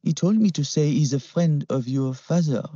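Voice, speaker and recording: A man's voice: a little high, moderate pace, warm tone, slightly rough, no room sound, muffled recording, voice pushed up. A man voicing a stern young French woman who gives cold, clipped commands in a French accent. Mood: coldly commanding.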